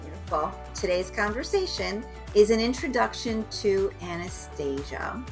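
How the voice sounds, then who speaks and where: A woman's voice: medium pitch, sing-song, moderate pace. Encouraging woman podcast host, faint music. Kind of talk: podcast interview.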